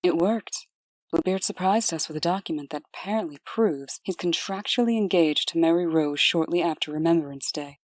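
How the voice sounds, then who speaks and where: A composed deputy played by a woman in a comic dub, dutiful and dryly candid. Her voice is low and formal, measured in delivery and recorded dry. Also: no echo, lightly acted.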